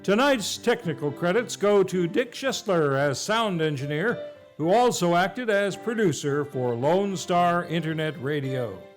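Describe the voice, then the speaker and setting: A man's voice: sits high, lively intonation, a little slow. A man working as announcer, reading scripted closing credits for a live stage radio show. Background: faint music.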